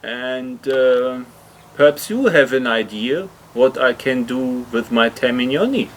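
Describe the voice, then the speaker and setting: A man's voice: mid-range voice, a little slow, slight background noise. A man narrating an explanatory hobby vlog in hesitant, slightly awkward non-native English phrasing. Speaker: video host, hobby motorcycle customizer.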